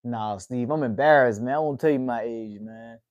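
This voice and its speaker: A man in his late twenties chats easily with his livestream viewers, relaxed and unhurried. He keeps ending phrases with a drawled "man." His voice sits at an ordinary middle pitch, recorded close and dry with no room sound. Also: dry recording, muffled recording. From United States. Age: 20s. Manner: laid-back, drawls "man" at the ends of phrases. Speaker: livestream host. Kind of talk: casual livestream chat with viewers.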